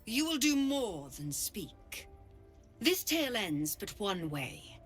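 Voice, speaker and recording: A woman playing a stern, zealous druid leader in a video game, proud and menacing, with a solemn, deliberate delivery. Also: medium pitch, very animated, soft background music. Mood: threatening. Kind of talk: dramatic video game acting.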